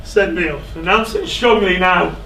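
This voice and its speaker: A man on stage playing an anguished, self-loathing fallen king in a classical tragedy, declaiming in halting, pleading phrases. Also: high-pitched, gravelly, sharp tone, heavily acted. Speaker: stage actor. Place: indoor theatre stage. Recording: echoey theatre, distant microphone, faint noise.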